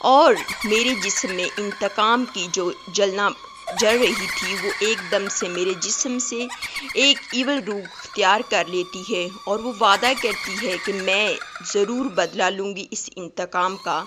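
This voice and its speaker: A woman playing a sorrowful princess turned vengeful witch spirit, telling her story in an animated storybook tale. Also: medium pitch, takes it slowly, background noise, lightly acted. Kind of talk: animated storybook character monologue.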